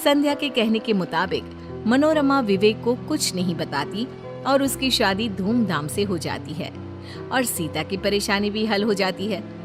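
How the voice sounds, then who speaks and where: A woman's voice: medium pitch, lively intonation, a little slow, music in the background. A woman narrator reading a scripted moral story.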